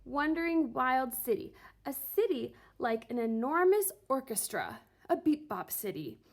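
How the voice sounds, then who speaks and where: High-pitched, animated woman reading a storybook. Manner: theatrical, deliberate phrasing with drawn-out words. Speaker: reader of a children's picture book, teacher. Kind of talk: expressive storybook read-aloud.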